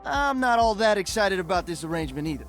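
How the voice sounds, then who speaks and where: A man's voice: high voice, very animated, fast, fairly bright tone, soft background music, heavily acted. An actor playing an upbeat, laid-back young male musician hero in a video game. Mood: defiant. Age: young adult. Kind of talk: video game character voice acting.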